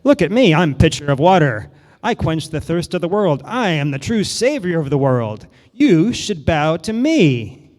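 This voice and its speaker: A puffed-up, blustering water pitcher, played by a man with a raised, loud, indignant voice. His boasts echo through a large reverberant hall. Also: lively intonation, fast talker, few pauses, close to an everyday voice.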